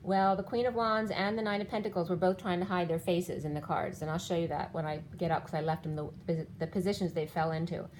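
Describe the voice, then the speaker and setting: A fifty-something woman talks through a tarot spread in a meandering, card-by-card way, her voice slightly low and now and then straining as it starts to give out. A faint hiss of background noise sits under her speech. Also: steady tone, fast, few pauses, a little mellow, rough recording. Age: 50s. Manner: rambling, explaining cards one by one. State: losing her voice. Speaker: tarot reader. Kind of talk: spiritual tarot reading monologue.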